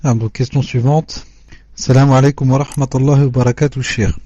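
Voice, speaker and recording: A man reading out listeners' written questions in a measured, formal way, on a dull, dry lo-fi recording. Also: mid-range voice, fairly even intonation, mellow, dry recording. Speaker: question reader.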